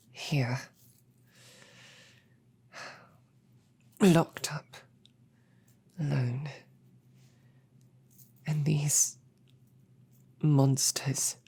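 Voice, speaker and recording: A defiant female knight held captive in an audio roleplay, acted out by a woman. Her voice sits very low with a husky grain, and she speaks slowly. Her phrasing is courtly and loyal, cut with dry snark. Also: darkish tone, exaggerated voice. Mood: frustrated, despairing. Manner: formal, chivalrous phrasing mixed with dry sarcasm. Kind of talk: scripted audio roleplay drama.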